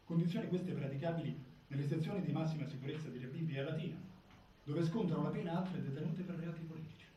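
A man's voice: fairly high pitch, fast talker, warm tone, lightly acted. A man acting a formal clinical expert reporting on stage, in a dull, very echoey hall recording. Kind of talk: documentary theatre, formal report. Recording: dull, very echoey hall, distant, faint noise.

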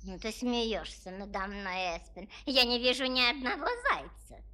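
A woman's voice: fairly high pitch, moderate pace, sharp tone. An actress playing a haughty, coaxing lady-in-waiting in a children's audio play, with an affected, cooing manner. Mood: affected politeness. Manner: affected, cooing, sweetly wheedling.

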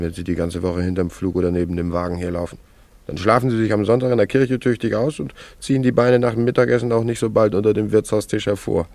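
Low, gravelly carefree young wanderer. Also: male voice, dark tone, dry recording. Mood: musing, carefree. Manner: easygoing, musing, bantering. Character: young carefree wandering journeyman, cheerful and teasing. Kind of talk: German radio play acting.

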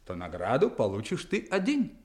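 Актёр играет хитрого, напористого, насмешливого мужчину в радиоспектакле 1960-х. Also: male voice, medium pitch, huge pitch swings, normal speed, a little mellow. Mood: persuasive. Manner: scoffing, insistent. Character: sly, brisk, mocking persuader of a man. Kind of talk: 1960s radio drama acting.

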